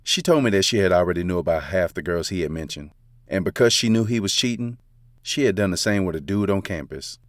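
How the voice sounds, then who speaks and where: A man's voice: low voice, animated, fairly quick, no echo, studio quality. A man narrating a first-person romance story in a casual, confiding, slangy way. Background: faint background music.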